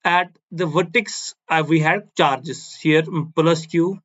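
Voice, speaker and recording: A man's voice: fairly high pitch, fairly even intonation, slow, fairly bright tone, lo-fi sound. A man whose first language is Kashmiri, teaching physics on a board and repeating key phrases, often checking with "no?". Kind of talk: blackboard physics lecture.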